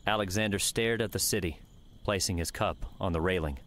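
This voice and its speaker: Male audiobook narrator, low register, scripted third-person storytelling over faint background noise. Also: a little fast, a bit bright.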